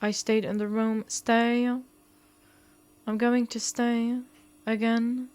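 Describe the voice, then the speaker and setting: A woman's voice: on the high side, flat delivery, slow pace, darkish tone, smooth. A woman reading a visual novel aloud as a game streamer, stumbling over words and breaking off into blunt, playful commentary. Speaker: game streamer doing a walkthrough.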